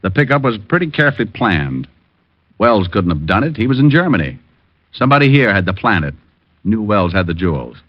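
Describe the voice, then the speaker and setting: A low-pitched hard-boiled private detective from vintage radio drama. He is dry, clipped and unflappable, always ready with a wisecrack. The old recording is muffled and dull. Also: very animated, a little fast, dry recording. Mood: explaining, sceptical. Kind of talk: 1940s-50s radio detective acting.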